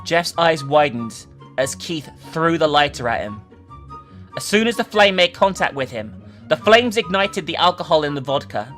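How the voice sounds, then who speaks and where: A woman's voice: low voice, sing-song, moderate pace, a bit bright, soft background music. A woman giving a theatrical, emphatic dramatic reading of a story, as a host who also comments casually. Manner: theatrical, emphatic, sweary in asides.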